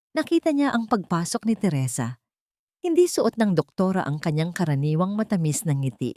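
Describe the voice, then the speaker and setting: A woman's voice: fairly low pitch, dry recording, studio quality. A woman narrating a dramatic audiobook story, voice rising and dipping for suspense. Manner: expressive, rises and dips for suspense.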